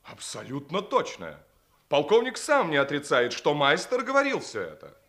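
Shrill, fanatical SS officer. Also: male voice, wildly swooping pitch, normal speed, a bit bright. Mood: insistent. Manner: declamatory, insistent, formal. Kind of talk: 1950s Soviet radio theatre acting.

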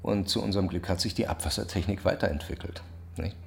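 Gravelly low-voiced male CEO. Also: quick pace, slight background noise. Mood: evasive, measured. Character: smooth, evasive, calculating male water-company CEO. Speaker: corporate CEO. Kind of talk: radio drama.

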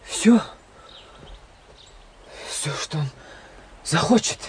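High-voiced, eager teenage boy. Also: lively intonation, drawn-out words, a little hiss or hum. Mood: yearning. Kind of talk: radio drama dialogue.

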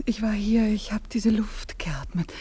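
An actress playing a troubled woman resolved to leave, speaking in a hushed, halting, breathy way. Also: medium pitch. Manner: hushed, halting, often near a whisper. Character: troubled young woman determined to leave her lover. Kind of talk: radio play acting.

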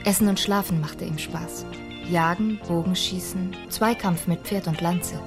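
A woman's voice: on the low side, fairly even intonation, a little slow, music in the background. A woman giving scripted storybook narration in a radio play.

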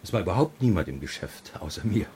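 An actor playing a reserved drugstore owner who answers questions tersely and deliberately in a radio crime drama. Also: male voice, low-pitched, sing-song, a little mellow, a little hiss or hum. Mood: reserved, matter-of-fact. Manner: laconic, deliberate.

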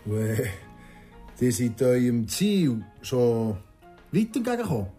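A polite Swiss German stranger in a café, speaking tentatively in a soft-toned, mid-pitched voice. It is radio drama dialogue with quiet music behind. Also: male voice, big pitch swings, takes it slowly. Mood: curious, courteous.

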